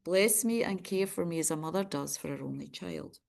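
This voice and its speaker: A woman Buddhist nun leading a prayer ceremony for an online group, reciting in a steady, measured way and chatting warmly with participants. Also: fairly low pitch, creaky. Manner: friendly, chatty greeting of participants.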